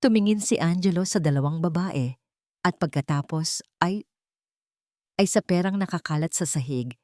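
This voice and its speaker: Low-voiced woman narrating a dramatic story. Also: normal speed, a little mellow, dry recording, clean studio sound.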